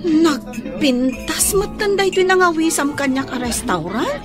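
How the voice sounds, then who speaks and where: A woman's voice: high-pitched, big pitch swings, measured pace, over music. A woman playing an earnest young housemaid in love and full of doubt, with an Ilocano accent, in a radio drama.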